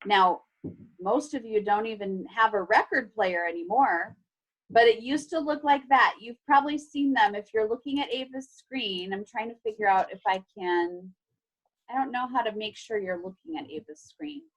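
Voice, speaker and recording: A woman's voice: on the high side, moderate pace, poor audio. A woman teacher reading a story aloud to children and explaining things simply. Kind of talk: expressive storybook read-aloud with chat to children. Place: online class video call.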